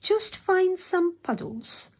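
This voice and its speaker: A woman's voice: very high-pitched, sing-song, slow pace, voice pushed up, heavily acted. A woman voicing a kindly aunt who encourages a child in a storybook. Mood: cheerful.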